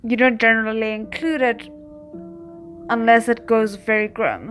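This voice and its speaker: A woman giving a casual live reaction to a drama series, thinking aloud in an informal, expressive way. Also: a little high, moderate pace, faint music behind. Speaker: reaction video host.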